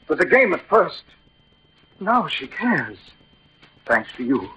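An actor playing a proud, impetuous young suitor with agitated, emphatic delivery in old radio drama style. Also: male voice, fairly high pitch, big pitch swings, takes it slowly, bright, forceful tone, slightly rough, exaggerated voice. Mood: defiant. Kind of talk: 1940s-50s radio drama acting. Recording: dull old radio recording.

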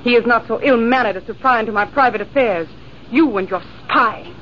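A woman's voice: a little high, a little fast, close to an everyday voice. An actress playing a proud, fiery young woman who answers with haughty indignation, in 1950s radio drama style. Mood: indignant. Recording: dull, hissy old radio broadcast.

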